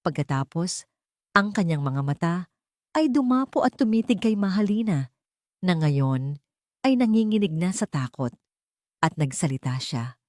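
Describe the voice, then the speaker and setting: A woman storyteller narrating a scripted drama in a measured, solemn, dramatic way. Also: low-pitched, dark tone, no room sound, studio quality.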